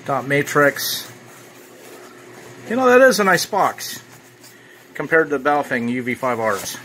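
A man's voice: a little high, sing-song, measured pace, pushed and bright, slight background noise, low-quality recording. A man giving casual, off-the-cuff unboxing commentary as a product reviewer. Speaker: ham radio reviewer.

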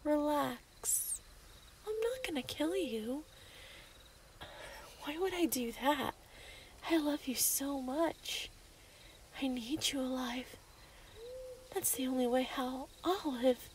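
A woman's voice: sits high, takes it slowly, long pauses, noisy background. A woman playing an obsessive, lovestruck young female werewolf in a yandere ASMR roleplay, menacing and possessive. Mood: possessive, coaxing.

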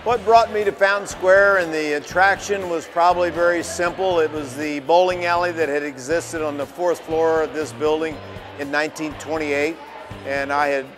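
An older man who owns a building, answering interview questions in a halting way with frequent restarts. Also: a little high. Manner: halting, restarts, self-correcting. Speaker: building owner.

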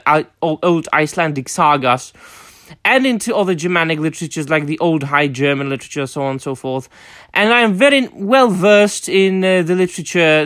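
A young man from the Republic, hosting his channel with a formal, deliberate opinion monologue full of fillers and restarts. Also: fairly high pitch, fairly bright tone, no room sound, clean studio sound. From Czech Republic. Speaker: channel host.

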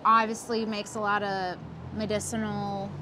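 A woman's voice: medium pitch, level tone, moderate pace, music in the background. A young woman co-hosting a travel vlog outdoors, chatting casually and searching for words with lots of "like" and "um". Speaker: vlog co-host. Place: outdoors on a hillside above a small town.

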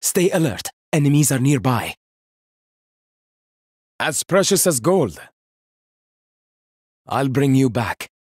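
An actor voicing a proud, witty young male inventor-warrior in a video game, speaking in measured, confident lines with dry wit. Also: mid-range voice, big pitch swings, a little mellow, husky, no room sound, full character voice. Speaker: game character.

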